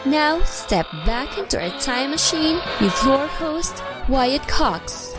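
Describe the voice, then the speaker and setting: A woman's voice: high voice, big pitch swings, slow. A woman announcing a radio show intro in a dramatic scripted style. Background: loud music.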